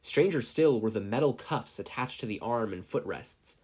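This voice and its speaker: A man's voice: medium pitch, lively intonation, normal speed, darkish tone, husky. A man reading scripted fiction aloud as a story narrator. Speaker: podfic reader.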